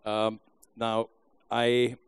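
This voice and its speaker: A man from India with an Indian accent asks a question from the audience. He speaks haltingly with fillers and restarts, and his voice sounds close and dry, with no room echo. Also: medium pitch, monotone, clean tone. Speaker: audience member asking a question.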